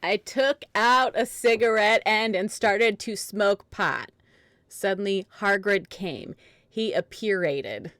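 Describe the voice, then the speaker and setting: A woman's voice: mid-range voice, slow, fairly bright tone, no room sound. A woman narrating a fan fiction story in a dramatic reading, keeping a straight face through absurd text.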